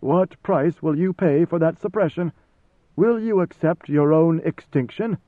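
A man reading an audiobook, voicing an ancient, weary god-emperor who speaks slowly and solemnly. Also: fairly high pitch, no room sound, pitched above the performer's own voice, close to an everyday voice. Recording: thin phone-like dry sound.

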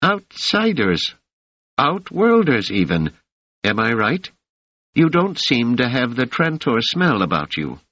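A man voicing a frail woman in her seventies with a soft, high-pitched voice who is hard of hearing. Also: wildly swooping pitch, takes it slowly, slightly rough, no room sound, dull audio, exaggerated voice. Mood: gentle, peering. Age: over 70.

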